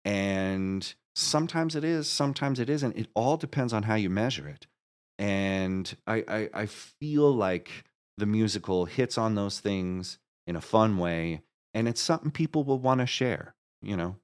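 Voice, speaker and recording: Low voiced guy being interviewed, casual, kinda rambles and keeps cutting himself off midsentence. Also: animated, clean tone. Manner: rambling, halting, self-interrupting. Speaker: interview guest, marketer and musical creator.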